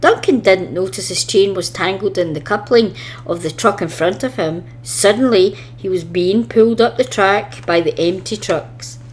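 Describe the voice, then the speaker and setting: A woman's voice: medium pitch, moderate pace, slight background noise. A woman narrating a children's story expressively, reading from a script as a storybook reader.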